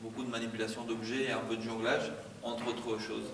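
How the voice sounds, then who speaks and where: A man answers interview questions in a flat, even tone, measured and a little hesitant. His voice is mid-pitched and sounds distant, in an echoey hall with faint noise. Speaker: circus juggler. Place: theatre hall.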